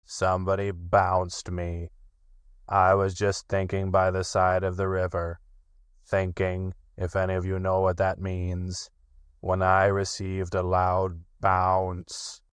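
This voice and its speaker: A man voicing a gloomy, sulky old male donkey with a slow, heavy, mournful drawl. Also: low-pitched, monotone, smooth, no echo, clean studio sound. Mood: gloomy, put-upon.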